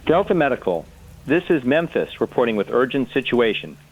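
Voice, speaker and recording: A man acting as an urgent field medic calling in on the radio in an audio drama. His pitch moves around in a lively way. The line is thin and phone-like. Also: a little fast, husky. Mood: urgent, alarmed. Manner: formal, urgent. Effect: radio filter.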